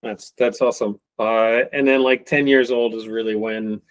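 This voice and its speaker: A man hosting an interview as a club founder, asking his questions in a halting, conversational way. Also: fairly low pitch, steady tone. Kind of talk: casual interview.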